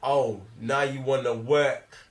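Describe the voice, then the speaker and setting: A man's voice: medium pitch, fairly even intonation, takes it slowly. A man with a London accent casually debating films in slang, emphatic and repetitive as he argues his point. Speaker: film review host. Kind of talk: animated casual film discussion, heavy slang. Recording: echoey room.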